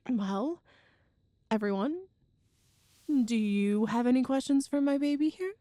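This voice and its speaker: A woman's voice: sits high, no room sound, studio quality. A woman playing an affectionate, playful girlfriend from a ghost family in an ASMR audio roleplay, chatty and tender. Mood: fond, reassuring. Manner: chatty and tender, with soft laughs and sighs.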